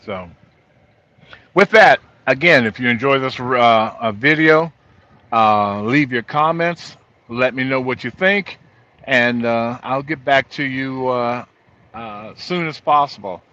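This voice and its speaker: A man's voice: medium pitch, takes it slowly, sharp tone. A middle-aged or older man giving a casual, explanatory vlog talk in a plainspoken, folksy, rambling way, as a trucker hosting his own video. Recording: dull lo-fi sound inside vehicle.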